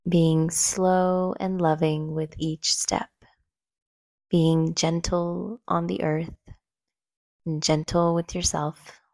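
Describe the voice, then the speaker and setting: A woman leading a guided meditation in a gentle, soothing, unhurried way, leaving long pauses between short phrases. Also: lowish voice, little pitch movement, no room sound. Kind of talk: slow guided meditation narration.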